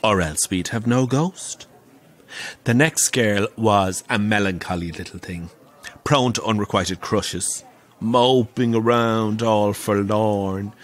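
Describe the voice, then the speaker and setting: An actor playing a camp, wry, middle-aged Irish pantomime dame who relishes telling grisly ghost stories in an audio drama. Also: male voice, lowish voice. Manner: theatrical, wry, relishing the tale.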